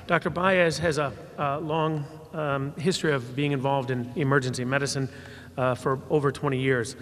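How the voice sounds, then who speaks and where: A man moderating a panel and introducing the next speaker, halting and full of filler sounds. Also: medium pitch, fairly even intonation. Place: hotel ballroom at a summit. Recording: long reverb of a large ballroom.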